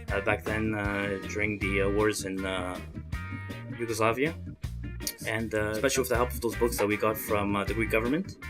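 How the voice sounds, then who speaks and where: A man in his early twenties from Montreal, Canada, giving earnest, hesitant interview answers as a student leader. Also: on the low side. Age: early 20s. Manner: halting, hesitant phrasing. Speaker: interviewee, student association chairman.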